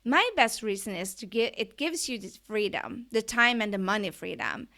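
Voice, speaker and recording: A woman's voice: medium pitch, sing-song, moderate pace, vocal fry, sharp tone. A woman from Norway with a Norwegian accent, talking as an online business coach in an eager, chatty podcast monologue that often asks the listener questions.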